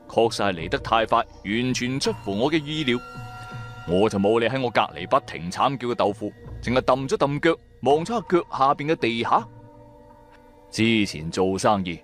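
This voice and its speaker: A man's voice: medium pitch, lightly acted. A man playing a shrewd, wry trader who narrates his own adventures in colloquial, sardonic radio-drama style. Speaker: narrator and lead character.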